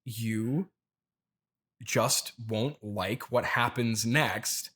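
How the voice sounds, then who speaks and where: A man's voice: medium pitch, extremely slow, fairly bright tone. A man playing an agitated, obsessive turnip farmer in an improvised comedy interview, stammering and indignant. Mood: menacing.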